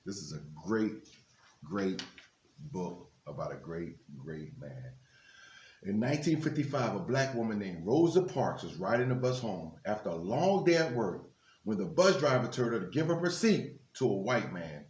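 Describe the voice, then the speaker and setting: A man's voice: fairly low pitch, very animated, moderate pace, darkish tone. A man reading a children's book aloud, breaking off for informal, encouraging asides to his young listeners. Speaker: guest reader at a children's book reading for a basketball team's literacy program. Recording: echoey, reverberant room.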